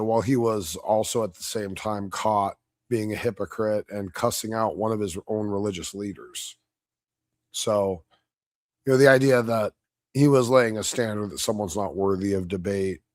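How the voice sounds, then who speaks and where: Man, slightly hoarse, blunt, profane, combative, casual stream commentary, dry close sound. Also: lowish voice, no room sound. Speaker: debate reviewer streaming live.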